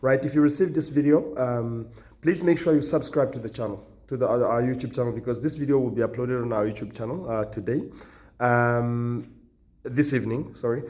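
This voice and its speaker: Hoarse man, halting and insistent. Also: medium pitch, darkish tone. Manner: halting and repetitive, insistent, stern warnings. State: hoarse, nearly lost voice. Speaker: company founder addressing job applicants. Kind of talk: recorded video announcement.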